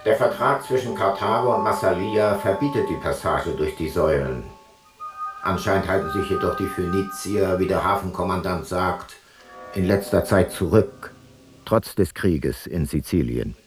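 A man's voice: sits low, normal speed, music in the background, natural voice. An actor playing an ancient Greek seafarer, a sober commander reading his logbook tersely in a radio play. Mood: sober, measured. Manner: terse, matter-of-fact.

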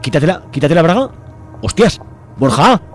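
A man's voice: on the high side, big pitch swings, normal speed, faint music behind. A whiny posh young guy. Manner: whiny and theatrical.

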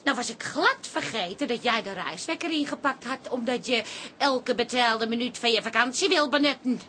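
An actress playing an exasperated, scolding, sly wife on holiday in a radio comedy. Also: female voice, on the high side, sharp tone. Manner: scolding, emphatic. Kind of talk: Dutch radio comedy drama acting. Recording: faint background ambience noise.

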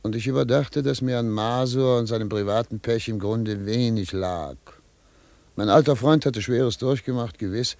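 An actor playing a brisk, self-assured middle-aged American lawyer who narrates and talks in a German radio play, with a theatrical, pondering manner. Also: male voice, medium pitch, darkish tone, no room sound, lightly acted. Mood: pensive. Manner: theatrical, pondering aloud, repeating words for emphasis. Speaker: narrator and lead character.

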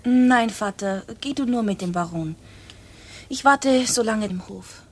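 An actress playing a young, dutiful, inquisitive daughter in a radio drama, speaking earnestly with a breathy, slightly husky voice. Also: female voice, fairly high pitch, a little slow. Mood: inquisitive, uneasy. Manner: earnest, questioning.

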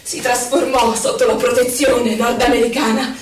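An actress playing a solemn poetic narrator, reciting in measured, declamatory, weighted phrases for a radio drama. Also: female voice, on the high side, level tone, faint music behind, lightly acted. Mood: bitter. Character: solemn female poetic narrator of political betrayal and struggle. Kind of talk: theatrical poetry recitation for radio. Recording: echoey, distant, noticeable noise.

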